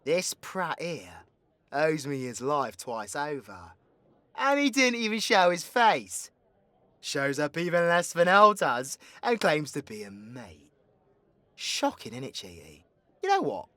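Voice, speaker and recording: Brash, high-pitched British rogue supervillain, acted by a man. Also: very animated, unhurried, pushed and bright, no echo, exaggerated voice. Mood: sardonic, cocky. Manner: brash, mocking, sweary slang. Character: crude, cocky, teasing British supervillain, foul-mouthed rogue. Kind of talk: audio roleplay voice acting.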